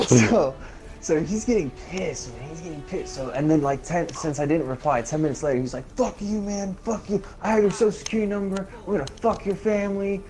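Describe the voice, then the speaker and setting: A man telling an animated, casual story in slangy, sweary language, quoting people as he goes while he games. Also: fairly high pitch, a little fast. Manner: slangy, profane, quotes people in dialogue. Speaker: streamer telling a personal story while gaming. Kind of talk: casual chat, animated anecdote. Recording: music behind the voice. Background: music, video game play.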